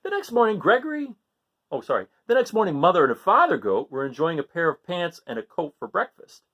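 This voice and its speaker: A man reading a picture book aloud to children in an animated way, asking his listeners questions. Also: high-pitched, brisk, dull audio. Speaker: read-aloud storyteller.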